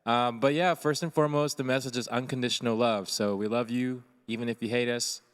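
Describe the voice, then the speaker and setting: A man's voice: medium pitch, fairly even intonation, brisk, smooth. A man co-hosting a casual live stream, talking loosely with many fillers and reading viewer comments aloud. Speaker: co-host and co-producer.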